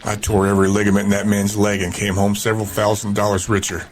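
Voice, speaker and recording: A man's voice: lowish voice, monotone, fast talker, noisy background, natural voice. A man playing a cocky, hot-tempered tough guy in an audio drama. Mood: combative.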